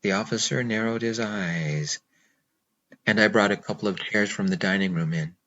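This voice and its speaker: A man narrating a first-person romance novel in a measured, intimate way. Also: lowish voice, fairly bright tone, muffled recording. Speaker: audiobook narrator.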